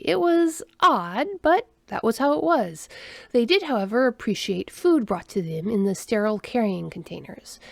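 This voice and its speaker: A woman reads a scripted story as its narrator. Her pitch rises and falls in a lively way and often settles into creak. The sound is dry and close, with no room around it. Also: no room sound.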